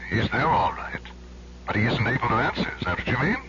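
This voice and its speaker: An actor playing a mysterious, menacing telephone caller in an old-time radio drama, knowing and insistent. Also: male voice, medium pitch, very animated, quick pace, sharp tone. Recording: muffled, slightly noisy old radio phone call.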